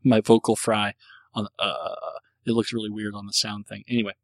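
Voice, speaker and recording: A man's voice: on the low side, little pitch movement, normal speed, gravelly, no echo, studio quality. A man hosting a casual solo show, answering listener questions in a rambling, self-deprecating way with frequent restarts.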